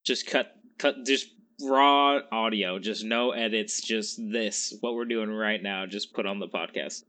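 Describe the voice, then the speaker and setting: A man's voice: on the low side, measured pace, bright, forceful tone. A man in his twenties co-hosting a casual podcast, rambling and joking with frequent laughs. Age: 20s. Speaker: podcast co-host. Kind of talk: casual chat.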